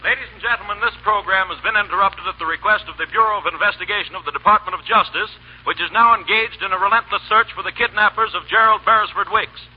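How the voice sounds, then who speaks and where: An actor playing an official radio news announcer, formal, emphatic and urgent, in 1930s bulletin style. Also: male voice, sits high, fast talker, dull audio, faint music behind, close to an everyday voice. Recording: band-limited 1930s radio broadcast.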